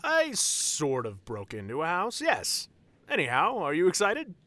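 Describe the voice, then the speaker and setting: A man playing a genial, fondly proud wedding officiant in an audio roleplay, with a theatrical, courtly and warmly formal way of speaking. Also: on the high side, huge pitch swings, a little slow, exaggerated voice. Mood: fond, teasing.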